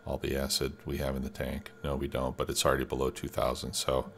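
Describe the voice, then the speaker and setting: Very deep-voiced man with a cold. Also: fast, gravelly, slight background noise. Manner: relaxed, thinking aloud with many fillers. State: stuffed up with a cold. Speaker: let's play host. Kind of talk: casual gameplay commentary. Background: background music and keyboard typing.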